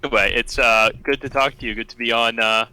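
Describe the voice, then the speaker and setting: A man's voice: mid-range voice, steady tone, quick pace, pushed and bright, clear, resonant tone, poor audio. A man from Canada with a general North American accent, a podcast guest in casual chat, rambling and hesitant with lots of fillers.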